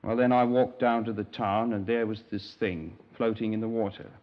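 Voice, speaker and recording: An actor playing a naive young English scoutmaster in a 1950s radio crime drama, polite, hesitant and wordy, easily affronted. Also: male voice, on the low side, fairly even intonation, normal speed, close to an everyday voice. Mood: earnest, rambling. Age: young adult. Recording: dull, band-limited 1950s radio recording.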